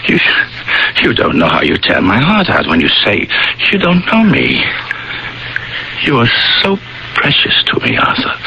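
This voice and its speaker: A man's voice: mid-range voice, gravelly, bright, forceful tone, slight background noise, exaggerated voice. An actor playing a devoted, anxious old friend in a radio drama, earnest and emotional as he narrates and pleads. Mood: anguished, pleading. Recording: dull radio recording with music.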